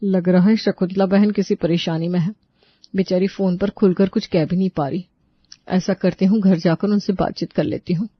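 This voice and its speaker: A woman's voice: lowish voice, steady tone, normal speed, husky, no room sound, muffled recording. A woman voicing a gossipy, dramatic older mother-in-law type in an acted audio story. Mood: nosy, chiding. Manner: complaining, dramatic. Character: exasperated, gossipy older Indian mother-in-law and her aunty friend.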